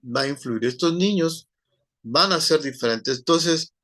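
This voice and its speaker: A man lectures to an online class at an unhurried, deliberate pace. He rambles, pausing often and leaning on fillers and tag questions. The sound is dry and close, with no room echo. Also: a little high, pushed and bright. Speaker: teacher.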